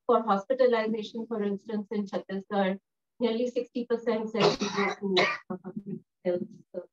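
A woman speaking on a panel in a lecture room, talking in a thoughtful, measured way with frequent fillers. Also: mid-range voice. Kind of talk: panel discussion remarks. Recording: dull lo-fi room recording.

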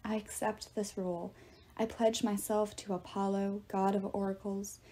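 A woman voicing an earnest, resolute teenage girl oracle in an audiobook, solemn, measured and soft-spoken. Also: mid-range voice, flat delivery, lightly acted.

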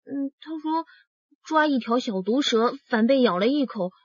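A fretful young policewoman, high-pitched with a breathy husk. She is deferential, hesitant and pleading, in slightly dull radio drama audio. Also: lively intonation, moderate pace, no echo.

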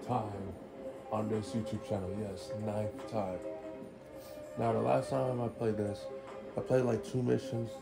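A man's voice: medium pitch, gravelly. A young man with a stuffy nose giving casual, rambling gameplay commentary, swearing freely. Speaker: gaming YouTuber.

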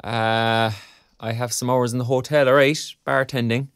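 A man's voice: medium pitch, little pitch movement, normal speed, fairly bright tone, smooth, no echo, studio quality, close to an everyday voice. A male actor playing a preoccupied young graduate in an audio drama, with an Irish accent and a hesitant, self-deprecating manner. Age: young adult. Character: wry, preoccupied young arts graduate job-hunting. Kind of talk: naturalistic audio drama acting.

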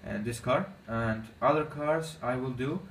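A man whose first language is Armenian, giving casual commentary on his gameplay in halting English with many fillers. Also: medium pitch. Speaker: gaming channel host. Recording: echoey room, distant mic, faint noise.

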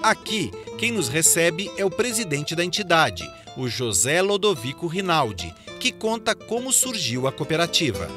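Lively male news narrator over guitar. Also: fairly high pitch, normal speed, few pauses. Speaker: reporter narrator. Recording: voice-over with guitar music behind.

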